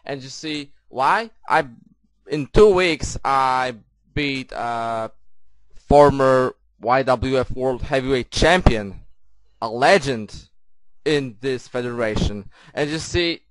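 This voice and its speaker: A man's voice: medium pitch, expressive, takes it slowly, dry recording, poor audio. A man with a Polish accent cutting a boastful wrestling promo, leaning on "you see" again and again. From Poland.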